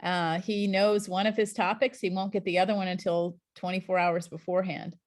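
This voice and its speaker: A woman's voice: lowish voice, little pitch movement, a little fast, no room sound, clean studio sound. A middle-aged woman and scuba instructor trainer, giving an encouraging, chatty introduction to an online class over a video call.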